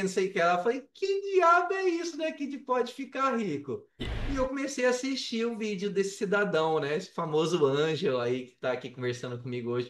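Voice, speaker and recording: Brazilian guy w a high voice chatting on a podcast, telling stories in slangy talk, kinda echoey room. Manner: animated, colloquial, acts out quoted dialogue. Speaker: podcast guest. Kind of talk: casual personal storytelling in an interview. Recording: roomy echo, distant from microphone.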